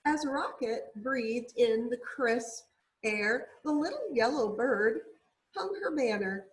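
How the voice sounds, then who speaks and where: A woman reading a children's story aloud expressively, with deliberate, drawn-out emphasis for young listeners. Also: on the high side, lively intonation, rough recording. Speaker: storybook reader.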